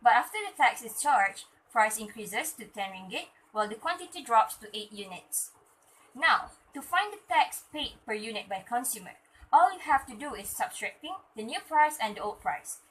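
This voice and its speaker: A woman's voice: fairly high pitch, expressive, moderate pace, fairly bright tone, low-quality recording. A woman with a Malaysian accent acting as a teacher in a classroom lesson, explaining and prompting in an encouraging way. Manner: encouraging, frequently praising and prompting. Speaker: teacher in a student-made classroom skit.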